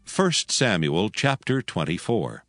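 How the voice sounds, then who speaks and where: A man giving scripted narration of dramatized scripture in a solemn, measured storytelling manner. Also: lowish voice, big pitch swings, fairly bright tone. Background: faint background music.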